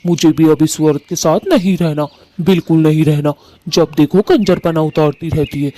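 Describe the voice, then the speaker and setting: A man voicing Kalu, a harsh, bossy male bird husband in a cartoon who scolds loudly. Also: a little high, fairly even intonation, moderate pace, lightly acted. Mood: complaining. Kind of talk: Hindi cartoon voice acting.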